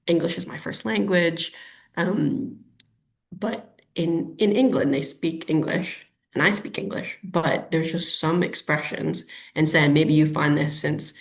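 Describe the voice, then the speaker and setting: A woman's voice: low-pitched, unhurried, gravelly. A woman in her thirties, a guest in a webinar interview, chatty and thinking aloud with many ums and restarts. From Canada. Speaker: urban planner guest.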